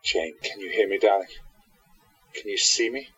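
A man's voice: lowish voice, moderate pace, a bit bright, breathy edge. An actor playing a protective, devoted hero who narrates and speaks his part in a radio play, earnestly, on dull lo-fi audio. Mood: tender. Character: protective, devoted male hero with secret powers. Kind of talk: radio play acting and first-person narration.

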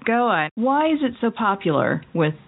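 A woman's voice: fairly high pitch, very animated, measured pace, vocal fry. A woman co-hosting a radio show, asking an interview guest questions in a curious, engaged way. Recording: thin lo-fi radio audio.

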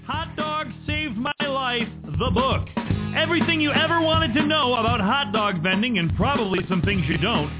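A man's voice: very high voice, expressive, normal speed. A man reading a radio commercial with a hyped-up sales delivery. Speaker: ad announcer. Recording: tinny line with loud music.

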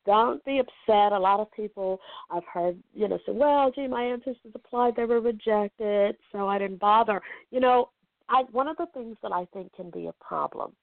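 Hoarse, gravelly woman on a phone line. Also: medium pitch, normal speed, no echo. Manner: enthusiastic, emphatic. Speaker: genealogist and podcaster, guest. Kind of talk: interview answers. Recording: tinny phone line, dry.

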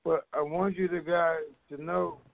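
A man's voice: fairly high pitch, level tone, a little slow, vocal fry. A man hosting a conference call, talking informally and in a rambling, conversational way to his listeners. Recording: dull, lo-fi conference call line.

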